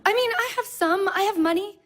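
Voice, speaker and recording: A woman's voice: very high voice, brisk, a bit bright. A woman voicing an awkward, self-conscious young woman on a blind date, in an audio play.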